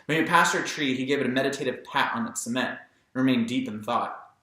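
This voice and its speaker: A man reads a novel as an amateur narrator, dramatizing it as he goes. His voice is bright and sits at a medium pitch. The room is heavily echoey. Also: fast. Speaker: amateur audiobook reader.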